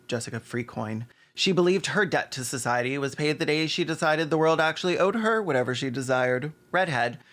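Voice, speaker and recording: A man's voice: mid-range voice, fairly bright tone. A man hosting a video essay with snarky, mocking, sometimes sweary scripted commentary and asides.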